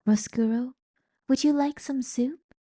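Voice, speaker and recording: A woman voicing a gentle, earnest young princess who speaks softly. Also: high-pitched, normal speed, husky, pitched above the performer's own voice. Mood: earnest pleading. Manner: soft and pleading.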